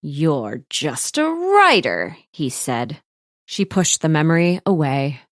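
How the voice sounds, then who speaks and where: A woman's voice: deep voice, sing-song, takes it slowly, dry recording, clean studio sound. A woman narrating a scripted comic story in a wry manner.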